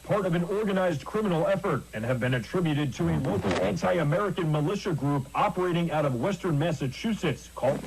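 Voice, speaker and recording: An actor playing a local TV news anchor, reading a scripted bulletin in a measured, formal way. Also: male voice, on the high side, gravelly. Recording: noisy analog TV broadcast.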